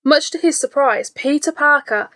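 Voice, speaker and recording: A woman's voice: high-pitched, moderate pace, a bit bright. A woman reading a scripted children's storybook as an audiobook narrator. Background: faint background music.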